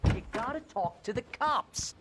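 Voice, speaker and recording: An actor playing an anxious, talkative, loyal best friend in a video game, nagging in wordy, sarcastic exasperation. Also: male voice, very high-pitched, lively intonation, a little slow, background noise. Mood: worried, exasperated.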